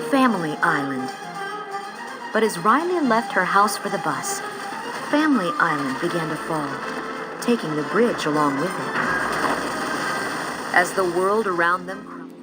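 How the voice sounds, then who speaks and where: Animated, lowish-voiced woman storyteller. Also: low-quality recording. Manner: expressive, measured storytelling. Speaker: storybook narrator. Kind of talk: scripted storybook narration. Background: music.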